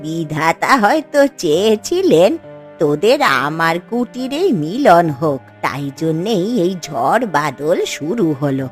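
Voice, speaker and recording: Woman voicing a slow, singsong kindly old granny. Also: fairly low pitch, very animated, soft background music. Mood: advising, firm. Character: kindly, gently chiding old village granny. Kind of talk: cartoon fairy tale dialogue.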